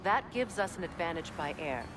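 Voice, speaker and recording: A woman's voice: on the low side, close to an everyday voice. A woman voicing a commanding warrior queen. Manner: composed, measured and commanding. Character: proud warrior queen, weary goddess general. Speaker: video game character. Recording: game audio with steady background noise.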